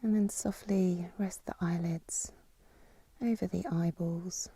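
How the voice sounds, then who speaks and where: Female meditation teacher, lowish soft breathy voice, calm and unhurried, close dry sound. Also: dry recording. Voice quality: soft, breathy, half-whispered. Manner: calm, soothing, unhurried with long pauses. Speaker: yoga nidra teacher. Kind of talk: guided meditation, slow spoken instructions.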